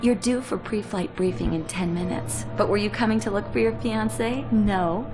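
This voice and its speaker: An actress playing a bossy, sarcastic young fiancée scolding in a video game cutscene. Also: female voice, medium pitch, normal speed, close to an everyday voice. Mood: mock-angry. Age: young adult. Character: sharp-tongued, bossy young fiancée of a pilot. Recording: music behind the voice.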